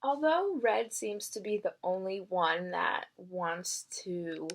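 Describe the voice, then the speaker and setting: A woman teacher giving a read-aloud lesson, narrating a children's novel in an expressive, instructive way. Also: medium pitch, takes it slowly.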